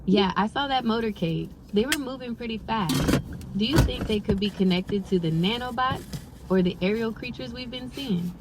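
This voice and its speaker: A woman's voice: a little high, moderate pace, natural voice. A woman voicing an upbeat, agreeable female AI companion on a mission, in improvised sci-fi role-play dialogue. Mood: calm, informative. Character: upbeat female AI ship companion, obliging and steady. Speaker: AI co-pilot. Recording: loud car and road noise.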